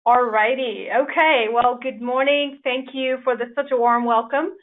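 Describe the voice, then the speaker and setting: A woman's voice: a little high, steady tone, a little slow. A woman from Nepal giving a conference presentation over a video call, hesitant, with many fillers and restarts. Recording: tinny phone-like audio.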